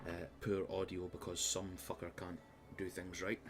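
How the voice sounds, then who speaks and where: A man's voice: deep voice, monotone, normal speed, noisy background. A Scottish man running a tabletop game on a live stream, bantering and swearing before a recap. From Scotland. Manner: swears a lot, jokey banter. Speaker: game master. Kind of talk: casual chat and game recap. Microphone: live stream mic.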